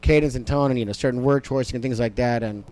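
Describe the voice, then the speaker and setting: A man's voice: medium pitch, fairly even intonation, quick pace, a little mellow. A young man whose first language was Amharic, a comedian talking casually in an interview, rambling and profane with many fillers. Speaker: stand-up comedian, podcast guest.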